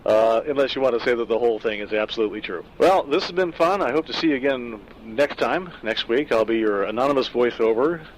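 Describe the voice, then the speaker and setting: A middle-aged man talks over a story he wrote in a loose, reflective way, pausing on fillers as he thinks aloud. His voice sits at an ordinary middle pitch, with a faint rumble of traffic behind him. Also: poor audio. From Southern California. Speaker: author discussing his own story. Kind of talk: casual spoken afterword.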